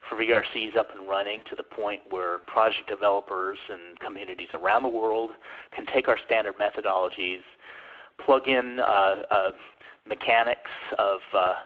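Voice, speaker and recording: Man, low voice, halting delivery, phone-quality audio. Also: steady tone. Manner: hesitant, many ums and uhs. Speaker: webinar presenter. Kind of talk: answering questions in a webinar. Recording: tinny phone-line audio.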